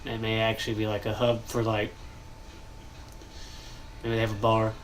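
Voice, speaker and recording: A young man with a stuffy, nasal voice, chatting casually and thinking aloud while he builds in a game, pausing often to cough or clear his throat. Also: fairly low pitch, completely flat delivery, a little hiss or hum, low-quality recording. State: congested, nasal. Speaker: gaming channel host.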